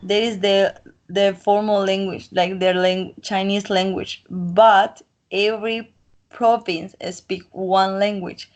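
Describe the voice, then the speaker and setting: A woman's voice: medium pitch, little pitch movement, takes it slowly. A woman from the Colombian coast, a native Spanish speaker, chatting in English with a Colombian accent, talkative and self-assured. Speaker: interviewee. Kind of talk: casual chat.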